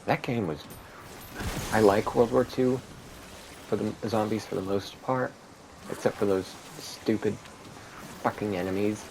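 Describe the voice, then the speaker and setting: A man giving rambling, monotone commentary while playing a video game, with many fillers. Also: fairly low pitch, unhurried, vocal fry, pauses often. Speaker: gaming video creator. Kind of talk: casual gameplay commentary. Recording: steady background noise.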